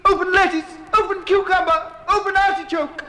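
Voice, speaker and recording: A man's voice: very high-pitched, level tone, normal speed, sharp tone. An actor playing a greedy, easily flustered man in a theatrical children's story record from the 1960s. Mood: desperate, frantic. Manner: theatrical, increasingly frantic and pleading. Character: greedy, flustered Persian man trapped in a cave. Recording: long cavernous reverb.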